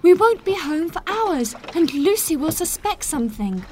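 A man voicing a troubled young woman torn between love and duty, in a high pleading voice. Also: very animated, moderate pace, a little hiss or hum. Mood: panicked.

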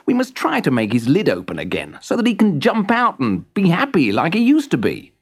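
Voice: medium pitch, huge pitch swings, brisk, husky, pitched above the performer's own voice, full character voice. A storyteller doing a chorus of kindly worried toys. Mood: concerned.

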